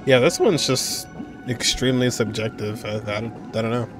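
A man's voice: mid-range voice, moderate pace. Young man musing over a game. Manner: relaxed, musing, laughs often. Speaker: gaming reaction streamer. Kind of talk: casual reaction commentary. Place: indoor room at desk. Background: game music.

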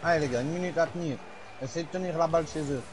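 A man's voice: fairly high pitch, rapid-fire, background noise. A man with a Belgian French accent, calling out to his teammates during an online game. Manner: calls out urgently to teammates. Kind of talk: casual gaming chat.

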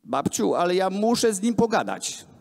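An eager, restless young inventor from a children's storybook , voiced by a man reading aloud. The voice is raised and lively, excited and insistent. It rings through an echoing hall. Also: high voice, normal speed, creaky, pitched above the performer's own voice, full character voice. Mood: pleading, urgent. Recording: echoey hall, amplified voice filmed from the room.

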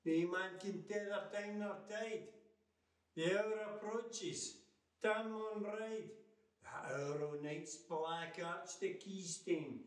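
Older Scottish male, high voice, dramatic verse recital, recorded at a distance in a roomy space. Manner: theatrical, emphatic, measured. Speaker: poetry reciter. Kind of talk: dramatic recitation of Scots narrative poetry. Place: living room with fireplace, indoors. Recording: roomy, distant microphone sound.

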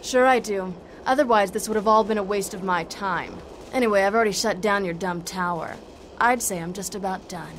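A woman playing a cocky, sardonic half-vampire heroine in a video game, with wry deadpan taunts. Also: mid-range voice, brisk, a little hiss or hum, close to an everyday voice. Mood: cocky, threatening. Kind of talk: video game cutscene acting.

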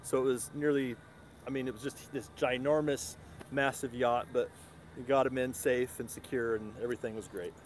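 A man's voice: mid-range voice, fairly even intonation, moderate pace. A man guesting on a casual livestream outdoors at night, talking in an easygoing, plain-spoken way. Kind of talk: casual chat. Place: outdoor parking lot at night. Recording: faint outdoor background noise.

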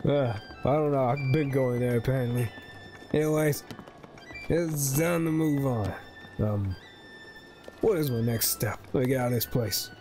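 A man's voice: mid-range voice, measured pace, pauses often, dark tone. A man giving casual, slangy, playful commentary as he plays a video game. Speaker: gaming host. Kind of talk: casual let's play commentary. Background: game music.

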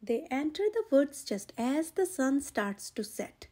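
Lady reading a kids storybook, high soft-toned voice, kinda mellow, takes her time. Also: expressive, measured pace. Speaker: storyteller, channel host. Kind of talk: children's picture book read-aloud.

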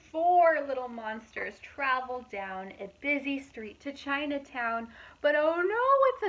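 A woman in her thirties, a preschool teacher, reads picture books aloud to young children in an expressive, animated manner with asides to her listeners. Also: sits high, highs cut off. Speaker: preschool teacher reading storytime.

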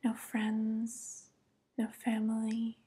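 Evil witch, played by a young woman, vain and cooing, ASMR roleplay: mellow, extremely breathy, whispered close to mic. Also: fairly high pitch, monotone, exaggerated voice. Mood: pleased, sly. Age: young adult. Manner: slow, cooing, gently condescending. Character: evil youth-stealing witch, sly, vain, falsely tender. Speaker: ASMR performer. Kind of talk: soft-spoken ASMR roleplay. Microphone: close microphone.

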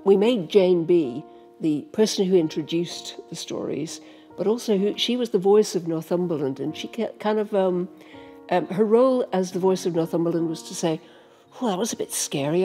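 An older woman talks in a panel discussion like a conversational storyteller. Her voice is mellow and slightly low, with faint guitar music behind it. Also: moderate pace. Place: book-lined library room, seated. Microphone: microphone on a stand.

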